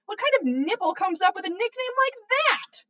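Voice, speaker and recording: A woman voicing a bubbly, quick-tempered teenage witch girl in an exclaiming manner for a story reading. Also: very high-pitched, sing-song, sharp tone, highs cut off, voice pushed up, exaggerated voice. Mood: irritated. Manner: gushing, exclaiming.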